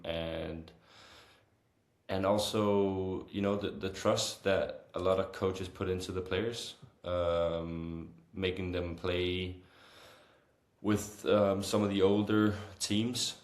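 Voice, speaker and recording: Low, slow-talking young Danish man. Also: flat delivery. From Copenhagen, Denmark. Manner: hesitant, many long filled pauses. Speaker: interview guest, football goalkeeper. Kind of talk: casual podcast interview. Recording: roomy, echoing sound.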